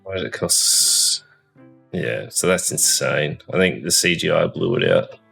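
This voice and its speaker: Deep, gravelly laid-back man. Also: expressive, unhurried, pushed and bright, faint music behind. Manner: laid-back, short replies, reads out figures. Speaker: podcast host. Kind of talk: casual movie review chat.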